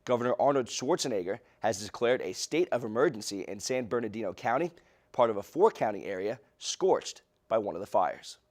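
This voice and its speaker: A young man with an American accent reads scripted news in a formal newscaster delivery, as a reporter and anchor. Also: medium pitch. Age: young adult.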